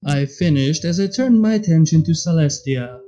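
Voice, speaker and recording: A man's voice: fairly high pitch, expressive, normal speed, dark tone, muffled recording. A man reading fan fiction aloud in a scripted narrating style. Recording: music under the voice. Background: background music.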